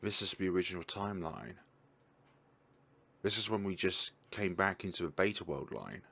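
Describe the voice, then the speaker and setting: A young man chatting casually as he plays a story game and thinks aloud with excitement. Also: deep voice, normal speed, creaky. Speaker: gaming YouTuber. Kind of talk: casual let's-play commentary.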